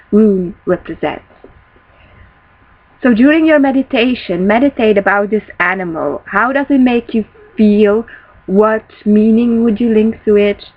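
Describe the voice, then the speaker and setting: A young woman, a native Dutch speaker, teaching a casual spiritual lesson from a small room, often pausing to find words. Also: a little high. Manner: thoughtful, searching for words, restarts often. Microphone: built-in laptop webcam mic, close. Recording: dull lo-fi webcam audio.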